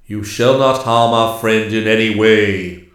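A man voicing a grave, ancient immortal master of the forest in a storybook, speaking in stern, stately, deliberate pronouncements. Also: fairly low pitch, fairly even intonation, very echoey, lightly acted. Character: grave, commanding ancient immortal master woodsman, bearded male.

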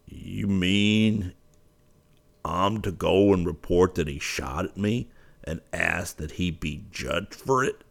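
A man reading a storybook aloud and voicing a gruff, hot-tempered cowboy in a low, drawling, hoarse voice. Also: slow pace, full character voice.

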